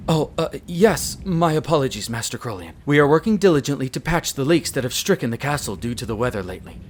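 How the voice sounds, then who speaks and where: A man's voice: mid-range voice, sing-song, quick pace, slight background noise, lightly acted. A man playing a dutiful wolf butler in an audio roleplay, very formal and deferential, a little nervous. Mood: flustered, apologetic. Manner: very formal, deferential, hesitant when flustered. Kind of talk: scripted audio roleplay acting.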